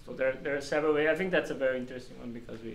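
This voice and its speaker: A man's voice: medium pitch, rapid-fire. A man informally explaining technical material to a small group as a meetup presenter. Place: small glass-walled meeting room. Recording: echoey meeting room, distant mic, faint noise.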